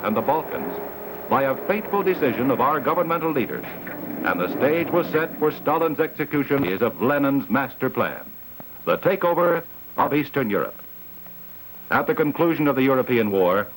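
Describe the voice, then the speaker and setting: A middle-aged American man narrating a scripted 1960s propaganda documentary in a grave, authoritative, emphatic manner with deliberate phrasing. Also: medium pitch, fairly bright tone, poor audio.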